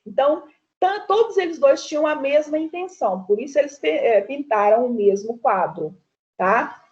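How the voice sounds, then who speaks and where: Brazilian lady art teacher, highish voice, chatty, keeps checking in w the kids, muffled lo-fi call sound. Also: expressive, normal speed, a little mellow. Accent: Brazilian Portuguese. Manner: chatty, repeats "tá?" to check in with students. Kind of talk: online class, explaining paintings. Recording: dull lo-fi online class audio.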